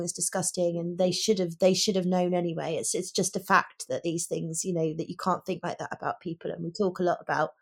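Low-voiced, husky woman discussing books. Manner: reflective, articulate, questioning. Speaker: podcast co-host, teacher. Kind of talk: thoughtful book discussion.

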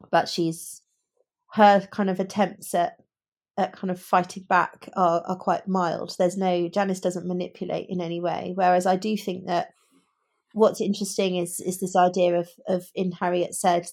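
A woman's voice: on the low side, level tone, measured pace, creaky, darkish tone. A woman co-hosting a podcast in a casual book discussion, reflective and often feeling for the right word as she gives her view.

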